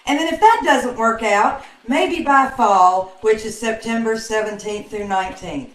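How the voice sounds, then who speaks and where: A woman's voice: on the high side, unhurried. An American woman giving casual, chatty announcements as co-host on a video call. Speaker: co-host of radio troupe show. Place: indoor room, video call. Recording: echoey, distant video-call sound.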